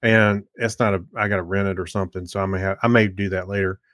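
A man's voice: low-pitched, fairly even intonation, fast, fairly bright tone, no room sound, studio quality. A middle-aged man co-hosting a podcast, chatting casually in a hesitant, rambling way. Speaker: podcast co-host. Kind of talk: casual chat about movies.

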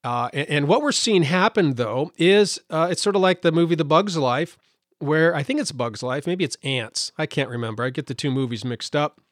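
Man, podcast host, indignant, halting with fillers, slightly hoarse, fairly high voice, dry sound. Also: expressive, dry recording. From United States. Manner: indignant, hesitant with many fillers and restarts. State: losing his voice slightly. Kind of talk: solo podcast commentary.

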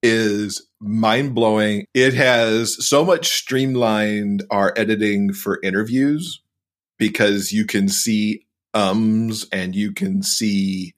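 A man with a lowish voice speaks as a podcast guest. He is informal and explanatory, talking in the relaxed language of a casual interview. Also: slow pace.

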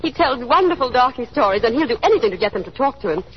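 A woman's voice: a little high, sing-song, racing. An actress playing a chatty, anxious young small-town woman putting on airs in a 1930s radio drama, with a gushing manner and nervous little laughs. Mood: flustered, airy. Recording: dull, hissy 1930s radio recording.

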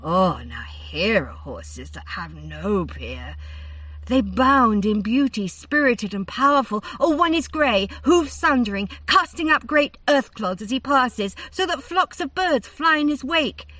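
An eager, awestruck young princess, as a woman storyteller puts her on, stammering and gushing in a high voice that leaps around. She sounds a little off-mic in a dry space. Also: lively intonation, measured pace, fairly bright tone, no echo, distant mic.

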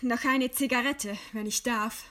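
An actress playing a proud, scornful woman writer facing execution in a radio play, with a deliberate, ironic delivery. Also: on the high side, steady tone, pushed and bright. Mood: subdued scorn. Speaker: radio drama actor. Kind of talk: German radio play acting.

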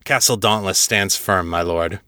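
A man's voice: deep voice, a little slow, sharp tone, dry recording, clean studio sound. A man voicing a very tall, dutiful fantasy captain in an audiobook, reporting formally and deferentially. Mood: formal, steady.

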